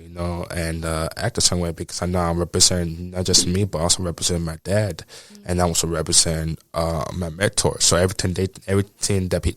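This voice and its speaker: A man's voice: low-pitched, a bit bright, no echo. A man in his twenties hosting a podcast, talking casually and rambling, with lots of "you know what I'm saying". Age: 20s. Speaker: podcast host. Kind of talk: casual chat.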